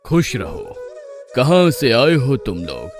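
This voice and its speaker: A benevolent old guru voiced by a man, calm and formal like a teacher in a cartoon moral tale. His pitch is moderate, and faint music plays behind him. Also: slow pace. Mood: kindly, reassuring. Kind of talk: Hindi moral-story cartoon dialogue.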